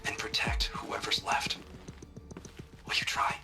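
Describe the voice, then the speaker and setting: A man playing a gentle scientist in a video game, reassuring and halting, with a breathy, hushed voice. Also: whispered, distant mic, over music, close to an everyday voice. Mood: tender, reassuring. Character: gentle, reassuring male scientist comforting his AI.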